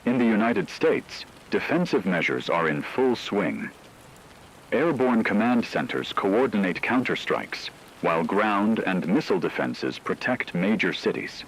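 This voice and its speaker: A man's voice: fairly low pitch, very animated, a little slow, slight background noise, low-quality recording. A man playing a solemn radio news anchor, delivering a portentous scripted emergency war broadcast. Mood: grave, ominous. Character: grave male radio news anchor announcing world war.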